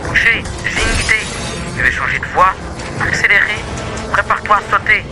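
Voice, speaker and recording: A man voicing an anxious, reluctant toy creature with a halting delivery, in an amateur horror game dub. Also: high voice, animated, loud music behind the voice. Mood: urgent. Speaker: amateur voice dubber.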